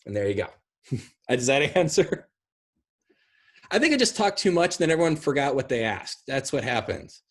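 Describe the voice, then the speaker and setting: A man's voice: medium pitch, very animated, normal speed, pauses often, a bit bright. A middle-aged man on a video call, explaining his ideas in a casual, rambling way. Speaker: guest speaker. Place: indoor room at home, on a video call. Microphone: video call microphone.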